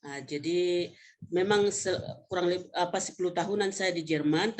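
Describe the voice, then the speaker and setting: A woman in her fifties from North Sumatra, Indonesia, presenting slides informally as a professor, in a conversational, halting way with self-corrections. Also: fairly low pitch. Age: 50s. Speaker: vocational education professor presenting a webinar.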